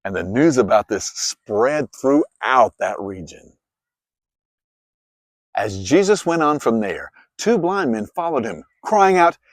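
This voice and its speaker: A man narrating scripture as a dramatized story, with expressive, clearly phrased delivery. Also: medium pitch, dry recording. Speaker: Bible narrator.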